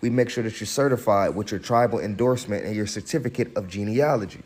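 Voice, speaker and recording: A man's voice: lowish voice, quick pace, darkish tone. A man giving a casual social media monologue, slangy and preachy, calling his viewers y'all. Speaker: online commentator promoting a service.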